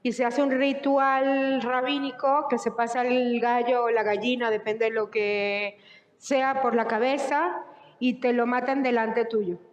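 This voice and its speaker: Echoey, higher-pitched Venezuelan woman. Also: fairly even intonation, a little slow. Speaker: cooking workshop presenter. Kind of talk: informal question-and-answer talk. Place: large echoey indoor room. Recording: echoey hall, muffled sound.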